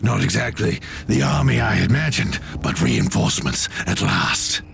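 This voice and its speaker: An actor playing a battle-weary military sergeant in a video game, blunt, wry and sardonic. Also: male voice, lowish voice, sing-song, normal speed, creaky, slight background noise, exaggerated voice. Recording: loud music behind the voice.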